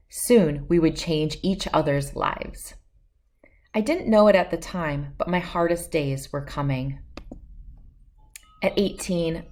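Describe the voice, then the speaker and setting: A woman's voice: sits low, darkish tone. A woman reading a children's picture book aloud as host, telling it expressively in the first person. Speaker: storybook read-aloud host.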